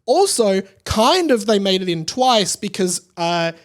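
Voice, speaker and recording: A man's voice: high voice, very animated, a little slow. A man in his thirties with an Australian accent hosting a podcast solo, chatty and rambling in casual commentary. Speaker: podcast host.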